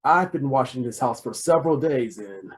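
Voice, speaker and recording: A man playing a brusque, exasperated, wisecracking police detective in an old-time radio comedy mystery, gruff and sardonic. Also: mid-range voice, animated, normal speed, lightly acted. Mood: suspicious. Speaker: voice actor.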